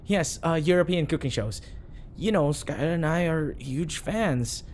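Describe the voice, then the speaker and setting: A man's voice: a little high, lively intonation, unhurried, darkish tone, slight background noise. A man playing a flustered, obsessively devoted bodyguard in an audio roleplay, earnest and nervously backpedalling. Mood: nervous cover-up. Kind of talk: audio roleplay acting addressed to the listener.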